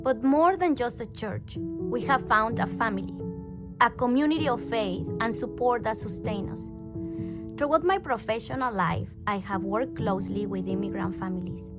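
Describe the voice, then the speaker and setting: A woman from Mexico speaks in an earnest, measured way in a scripted video. Her voice sits a little high. Loud instrumental music plays under her throughout.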